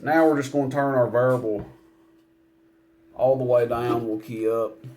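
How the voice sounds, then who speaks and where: A man's voice: medium pitch, fairly even intonation, moderate pace, darkish tone. A man giving a casual hands-on equipment demonstration in a plainspoken, folksy, matter-of-fact way. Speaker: CB radio seller demonstrating equipment.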